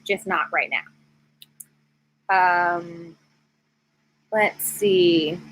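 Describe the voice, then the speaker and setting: Woman, thirties, bright voice, candid, animated, casual live-stream host, rambling chat. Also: lowish voice, extremely slow, poor audio. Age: 30s. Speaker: YouTube host, former teacher. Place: screened outdoor porch.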